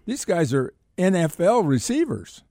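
An American man hosting sports talk in a casual, halting way, often repeating words and rephrasing as he goes. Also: sits high, big pitch swings, warm tone, dry recording. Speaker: sports talk show host.

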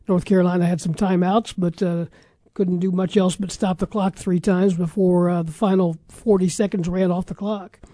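Mellow American sportscaster, man, dry close sound. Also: high-pitched, flat delivery, normal speed, dry recording. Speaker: sports radio talk show host. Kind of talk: casual sports talk and score rundown.

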